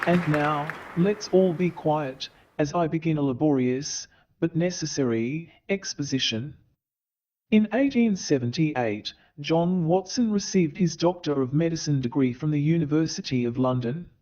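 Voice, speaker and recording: A man giving theatrical scripted narration as a storyteller, in a deliberate, mock-formal manner, on a muffled, noisy recording. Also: fairly high pitch, a little mellow, some chatter behind.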